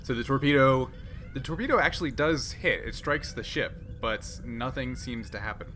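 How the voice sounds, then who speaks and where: A man's voice: mid-range voice, normal speed. A man running a tabletop roleplaying game, narrating the action and thinking aloud with some hesitation. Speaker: game master. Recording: clearly noisy background.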